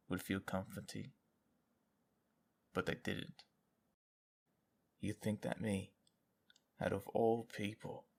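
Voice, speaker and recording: An actor playing a haunted, loyal soldier who survived captivity, speaking in a halting, confessional way in an audio drama. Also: male voice, low-pitched, vocal fry, heavily acted. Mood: haunted, subdued.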